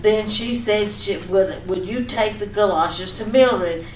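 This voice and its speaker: A woman's voice: mid-range voice, slow, close to an everyday voice. A woman playing a fussing, affectionate, nagging wife in an old-time radio comedy skit, often repeating herself. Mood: insistent. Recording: echoey room, distant mic, background noise.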